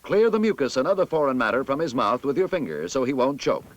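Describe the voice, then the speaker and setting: An American man reads scripted narration for a vintage army training film in an authoritative, instructive manner with clear enunciation. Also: mid-range voice, sing-song, fast, no echo.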